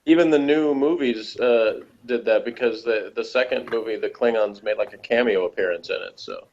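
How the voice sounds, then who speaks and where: A man with a fairly low voice talks casually as a podcast reviewer. He rambles through his opinions. The sound is dull and lo-fi. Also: normal speed, darkish tone, slight background noise. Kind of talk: casual chat reviewing a TV episode.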